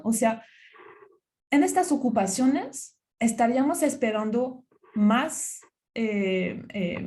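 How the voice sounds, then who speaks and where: A woman's voice: fairly high pitch, mellow. French-accented woman giving a talk. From France. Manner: hesitant, many fillers and restarts. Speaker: university researcher giving a talk. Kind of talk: conference talk.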